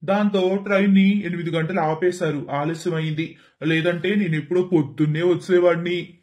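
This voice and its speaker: A man's voice: sits high, steady tone, moderate pace, a little mellow, natural voice. Casting: male performer as humble elderly relative, consoling, plain-spoken, level delivery, for a storybook moral tale. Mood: apologetic. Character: poor, grateful, wise elderly uncle. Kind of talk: animated moral story voice acting.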